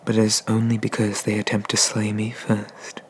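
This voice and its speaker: A man playing an ancient, haughty but merciful dragon god in an ASMR roleplay, speaking formally and deliberately, with breathy whispers. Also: on the low side, monotone, slight background noise, natural voice. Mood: musing, softening.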